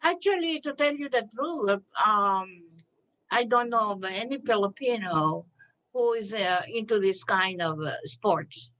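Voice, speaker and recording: A woman's voice: medium pitch, lively intonation, a little slow, fairly bright tone. A woman interviewer asking curious questions, with some hesitation and fillers. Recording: dull lo-fi sound.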